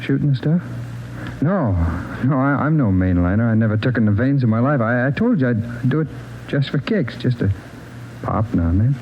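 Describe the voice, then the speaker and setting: A muffled old radio crime drama with slight noise. A man plays a jittery American drifter, evasive and stammering, echoing questions back as he makes excuses in a mid-pitched voice. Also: lively intonation, normal speed, close to an everyday voice. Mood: defensive, rattled. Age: 30s. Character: jittery, evasive male drug-addict drifter under questioning.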